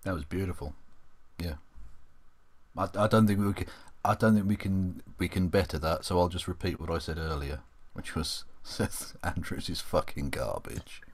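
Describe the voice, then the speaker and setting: A man's voice: deep voice, normal speed, slightly rough. A man co-hosting a casual opinion talk, speaking in a blunt, sardonic, sweary way. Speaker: co-host.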